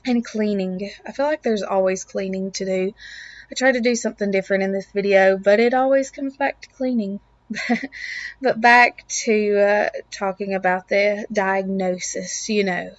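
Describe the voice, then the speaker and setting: A young woman with a raspy voice and a lingering cough, talking in an upbeat, earnest way as a casual vlog voiceover about home and family. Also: medium pitch, no room sound, muffled recording. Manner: upbeat, earnest, faith-filled. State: lingering cough, throat clearing. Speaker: lifestyle vlogger, mom of two.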